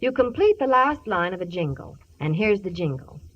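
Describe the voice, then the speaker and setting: A woman announcer delivering a scripted 1950s radio sponsor pitch. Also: sing-song, normal speed. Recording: dull old radio broadcast recording.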